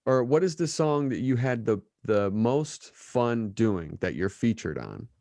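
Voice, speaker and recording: A man's voice: mid-range voice, very animated, unhurried, warm tone, dry recording, clean studio sound. An American man in his thirties hosting a casual interview podcast. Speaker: podcast host. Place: indoors, plain room, on video call. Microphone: desk microphone with headphones.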